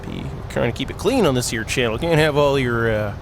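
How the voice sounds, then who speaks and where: A man's voice: medium pitch, a little fast, gravelly, background noise. A man hosting a gaming video, reading a story aloud in a goofy way and breaking off for joking asides, with game music behind him. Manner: goofy, giggly, exclaiming, drifts between reading and quips.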